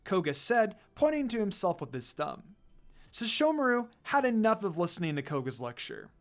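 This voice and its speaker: A narrator reads a fantasy fan story aloud. The delivery is dramatic, with a medium pitch that moves up and down a great deal. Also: sing-song, normal speed.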